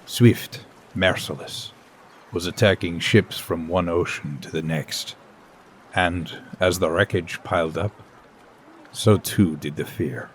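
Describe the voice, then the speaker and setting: An actor playing a grave, brooding naturalist, narrating an audio drama in solemn, measured phrases with portentous pauses. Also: male voice, sits low, a little mellow, slight background noise. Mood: ominous.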